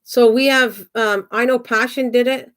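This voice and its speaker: A woman's voice: on the high side, fairly even intonation, normal speed. A woman of sixty, hosting her craft channel and chatting casually with viewers, rambling and answering them by name. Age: 60s. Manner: chatty, rambling, talks back to chat by name. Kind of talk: casual livestream chat reading viewer comments.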